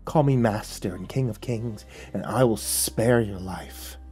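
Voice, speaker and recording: A man voicing a giant scornful serpent devil that hisses its words slowly and low. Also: a little mellow, soft background music, natural voice. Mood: tempting. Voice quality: hissing, low, drawn-out. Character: hissing serpent devil, huge, scornful, commanding.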